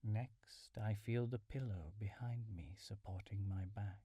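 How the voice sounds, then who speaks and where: A man leading a calm guided mindfulness meditation in a soothing, measured, instructional way, with soft breathy phrase endings. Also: fairly low pitch, dry recording. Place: small furnished room at home.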